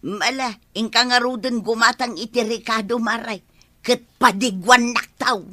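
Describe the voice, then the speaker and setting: A woman's voice: on the high side, steady tone, normal speed, sharp tone. A woman with an Ilocano accent acting a feisty, scolding village wife in a comedy drama. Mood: casual, teasing. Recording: outdoor noise with roosters and hens.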